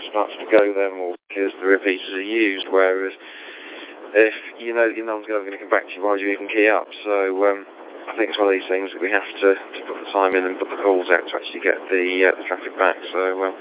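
Male ham operator, deep monotone, tinny radio-link sound with faint hiss, rambling technical chat. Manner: technical, rambling with many fillers.

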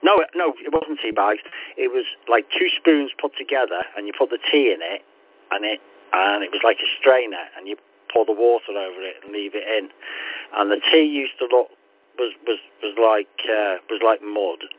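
A man's voice: on the low side, moderate pace, slightly rough. A man chatting over amateur radio, telling rambling stories. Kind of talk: casual radio chat. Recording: radio transmission, phone-like narrow band.

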